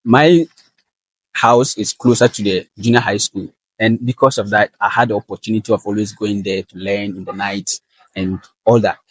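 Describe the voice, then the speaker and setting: A young man from northern Ghana with a Ghanaian accent, answering interview questions in an earnest, measured way. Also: fairly low pitch, fairly even intonation, husky, no room sound, poor audio. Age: young adult. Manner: earnest and measured, with frequent fillers. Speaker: guest, valedictorian student.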